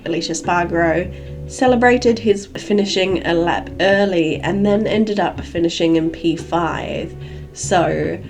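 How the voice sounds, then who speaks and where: A woman hosting a solo podcast, chatty and rambling, her voice gravelly and croaky from a cold. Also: medium pitch, darkish tone. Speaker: podcast host.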